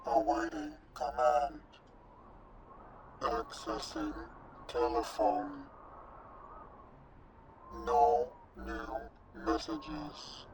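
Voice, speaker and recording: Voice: high-pitched, sing-song, extremely slow, vocal fry, long pauses, noisy background, heavily acted. A synthetic computer voice playing a robotic assistant that gives clipped, procedural system announcements in an audio drama. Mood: procedural, impassive. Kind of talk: flat automated system announcements.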